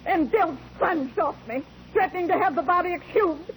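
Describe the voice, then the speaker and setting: An actress playing a defensive, cornered middle-aged landlady in a 1940s radio drama, halting and protesting as she speaks. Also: extremely high pitch, a crowd in the background. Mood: bitter, confessing. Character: defensive, cornered widowed boarding-house landlady with guilty secret. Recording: noisy 1940s radio recording.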